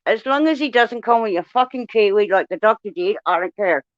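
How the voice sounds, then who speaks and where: A woman's voice: mid-range voice, brisk, dry recording. Aussie woman chatting, blunt, swears a lot, dry slightly muffled recording. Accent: Australian. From Australia. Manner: blunt, swears freely, animated storytelling. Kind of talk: casual chat.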